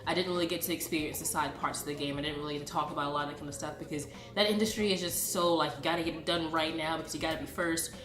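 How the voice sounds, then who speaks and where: A woman's voice: low-pitched, steady tone, rapid-fire, few pauses. A woman in her late twenties with a congested voice from a cold, chatting casually and familiarly to her viewers as a vlogger. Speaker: YouTube vlogger. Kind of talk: casual direct-to-viewer channel update. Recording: roomy echo, background music. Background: music.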